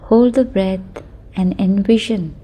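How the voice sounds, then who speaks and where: A woman guiding a sleep meditation in a soothing, unhurried manner, speaking affirmations in short phrases with long pauses. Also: medium pitch, fairly even intonation, mellow, husky. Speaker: meditation guide.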